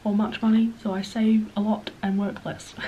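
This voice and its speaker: A woman's voice: mid-range voice, flat delivery, normal speed, highs cut off, a little hiss or hum. Nasal, sniffly young Australian woman. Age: 20s. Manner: rambling, self-deprecating, drops to soft whispers at times. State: sniffly, stuffed-up nose. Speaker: vlogger. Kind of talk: casual Q&A chat to camera.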